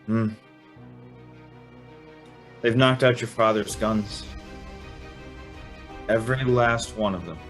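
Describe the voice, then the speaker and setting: A man's voice: lowish voice, slow pace, pauses often, a bit bright, soft background music. A man acting a protective, worried young man in a radio play, with an earnest manner. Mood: weary, resigned. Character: alarmed, protective young man urging his sweetheart.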